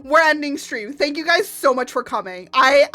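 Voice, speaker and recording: Hoarse, giggly high-voiced woman. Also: expressive, moderate pace, pushed and bright, no room sound, soft background music. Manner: giggly, breaks into fits of laughter. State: hoarse from laughing. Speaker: VTuber artist streamer. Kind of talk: casual live stream chat.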